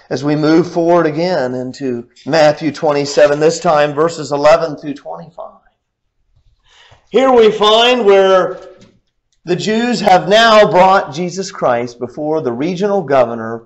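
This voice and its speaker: Young man, preacher, slow and lively, rises loud then falls quiet, church pulpit. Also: rough recording. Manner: swings from loud and high to low and quiet. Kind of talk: sermon.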